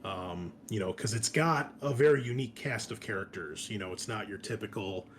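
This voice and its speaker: A man's voice: on the low side, moderate pace, a little hiss or hum. A man hosting and running a tabletop game session, chatting casually and welcoming his players in a friendly way. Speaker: game master and show host.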